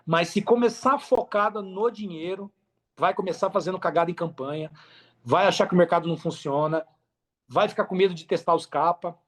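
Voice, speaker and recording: A man's voice: sits high, fairly quick, a bit bright. A Brazilian man talking casually and earnestly on a podcast, repeating phrases for emphasis in a motivational way. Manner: earnest, repetitive for emphasis, conversational.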